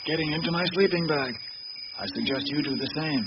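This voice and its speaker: An actor playing an older male captain in a radio drama, a calm, patient investigator with a dry, matter-of-fact manner. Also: fairly high pitch, fairly quick, close to an everyday voice. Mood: dry, unruffled. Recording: very noisy, dull radio drama recording.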